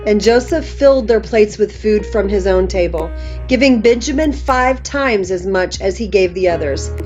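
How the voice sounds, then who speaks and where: A woman's voice: medium pitch, expressive, normal speed, darkish tone, muffled recording. A woman narrator reading scripture aloud as dramatic scripted storytelling. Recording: music behind the voice.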